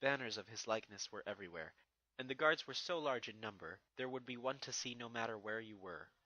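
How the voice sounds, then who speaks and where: A man's voice: mid-range voice, animated, brisk, bright, forceful tone, no echo, muffled recording. A man giving a dramatic scripted narration of a fantasy fan fiction story.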